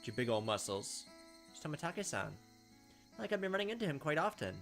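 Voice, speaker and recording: A man's voice: fairly high pitch, very animated, brisk, music in the background. A young man reading a visual novel aloud as a let's player, with casual, wry commentary.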